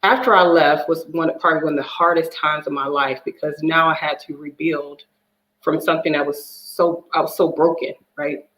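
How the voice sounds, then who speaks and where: A woman's voice: deep voice, steady tone, moderate pace, fairly bright tone, roomy sound, low-quality recording. A woman telling her personal story as a divorce coach guest, earnest and emotional. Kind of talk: personal testimony in a talk show.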